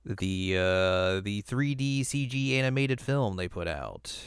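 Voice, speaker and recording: A man's voice: on the low side, animated, slow pace, smooth, no echo, clean studio sound. A man co-hosting a podcast, chatting casually as he reviews films. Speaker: podcast co-host.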